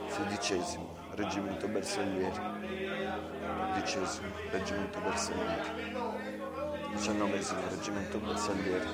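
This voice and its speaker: Man telling a theatrical story. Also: lowish voice. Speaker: actor and narrator.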